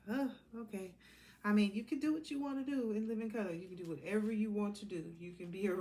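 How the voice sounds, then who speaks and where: A woman's voice: mid-range voice, warm tone. Sassy American woman over background noise. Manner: sassy, blunt, colloquial. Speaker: tarot card reader. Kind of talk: casual, rambling tarot reading monologue.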